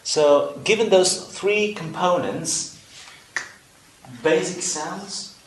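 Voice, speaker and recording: Slow, careful Vietnamese-accented male teacher. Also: high voice, echoey room, slight background noise, rough recording. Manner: careful, deliberate explanation with pauses and fillers. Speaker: English teacher. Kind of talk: slow instructional video lesson.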